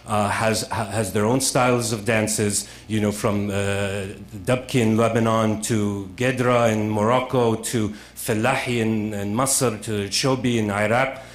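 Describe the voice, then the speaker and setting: A man giving a conference lecture, speaking haltingly and repeating words with many fillers, in a museum lecture room. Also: medium pitch, reverberant.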